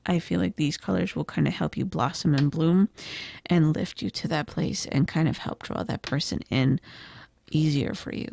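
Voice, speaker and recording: A woman losing her voice gives a gentle, reflective tarot reading, with frequent "I feel like" and "kind of". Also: low-pitched, creaky, no echo. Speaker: tarot reader. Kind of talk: pick-a-card tarot reading.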